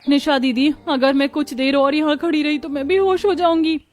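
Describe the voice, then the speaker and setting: Woman voicing high, fretful young daughter-in-law, cartoon. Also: steady tone, normal speed, lightly acted. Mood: disgusted. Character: curious, doubting younger village daughter-in-law, eager and easily worried. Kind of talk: scripted cartoon dialogue.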